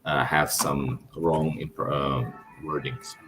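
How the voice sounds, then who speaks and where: A man's voice: a bass voice, little pitch movement, slow pace, over music. A man with a Russian accent, a travel vlogger, chatting casually over his own footage, rambling and correcting himself as he goes. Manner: rambling, with restarts and self-corrections.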